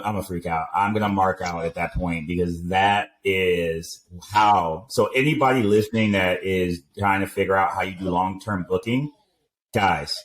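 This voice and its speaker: Low-voiced emphatic podcast co-host, male. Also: poor audio. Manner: emphatic, hyping up his co-host. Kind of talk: casual podcast chat.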